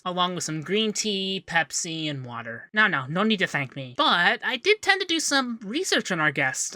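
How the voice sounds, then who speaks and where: A man playing a young, fussy, devoted femboy secretary in a scripted ASMR roleplay, chatty and expressive. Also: high voice, no echo, full character voice. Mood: fussy, brisk. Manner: chatty, expressive, bashful asides. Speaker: voice actor in roleplay audio.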